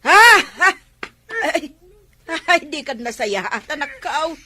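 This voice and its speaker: An actress playing a patient, soothing mother in a radio drama, gently coaxing with a Filipino Ilocano accent. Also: high voice, animated, slow pace, no echo, heavily acted. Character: patient, soothing mother counseling her grown child.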